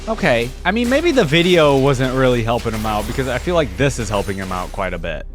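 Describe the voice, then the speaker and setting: A man's voice: medium pitch, big pitch swings, fairly quick, music in the background. A man giving casual gaming reaction commentary as a video host, thinking aloud in a conversational way.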